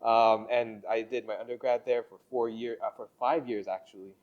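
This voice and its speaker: A young man from the Philippines hosting a podcast, speaking in a measured, hesitant way with many fillers. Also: medium pitch, fairly even intonation, highs cut off. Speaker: podcast host. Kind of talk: casual interview conversation.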